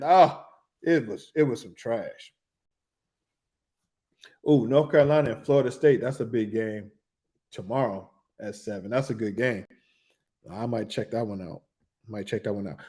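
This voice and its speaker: A man, talking loosely and excitedly about basketball as a podcast co-host in casual chat. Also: medium pitch. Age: 40s.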